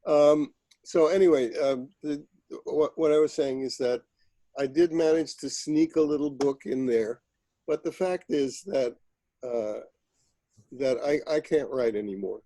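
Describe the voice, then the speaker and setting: Hesitant man with a dark, dry voice; casual video-call chat. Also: medium pitch, no room sound. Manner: hesitant, halting, wry. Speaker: novelist.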